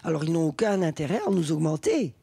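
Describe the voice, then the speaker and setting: An actress playing a nervous, apologetic, quietly defiant home care worker in a radio drama, hesitant and stammering. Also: female voice, low-pitched, animated, fast talker, vocal fry, breathy edge, full character voice. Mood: hesitant, aggrieved. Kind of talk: naturalistic radio drama acting.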